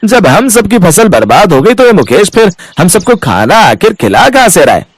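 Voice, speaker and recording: A man's voice: high-pitched, very animated, moderate pace, slightly rough, no echo, full character voice. A man voicing an aggrieved, grumbling village farmer in storybook dialogue. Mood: suspicious.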